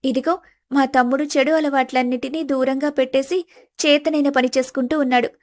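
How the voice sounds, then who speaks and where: Female narrator for scripted moral stories, notably high-pitched voice. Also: fairly even intonation, a little fast, a little mellow. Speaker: storyteller.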